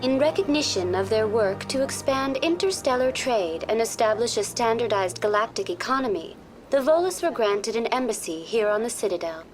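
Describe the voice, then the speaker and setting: Woman as a high-voiced virtual guide, polite and formal. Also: expressive, normal speed, faint music behind, natural voice. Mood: polite, informative. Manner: formal, courteous, precisely worded. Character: polite female virtual intelligence tour guide, calm and programmed. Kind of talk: scripted informational video game dialogue.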